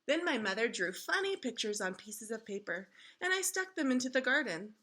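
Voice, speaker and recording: A woman reads a children's story aloud as a teacher would. Her voice is fairly high and very bright, and her delivery is expressive and instructive. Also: moderate pace, slight background noise. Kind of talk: children's storybook read-aloud with questions to listeners.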